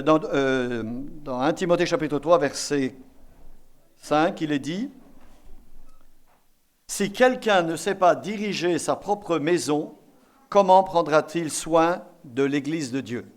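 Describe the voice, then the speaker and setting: A man's voice: fairly high pitch, lively intonation, takes it slowly. A man from eastern France preaching a sermon as a visiting pastor, exhorting and repeating key phrases for emphasis.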